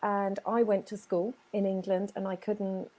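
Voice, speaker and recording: A woman's voice: mid-range voice, flat delivery, mellow. A woman from Chile with a Chilean Spanish accent, telling her life story earnestly in an interview. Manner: earnest, emphatic storytelling with dramatic pauses. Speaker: guest business owner.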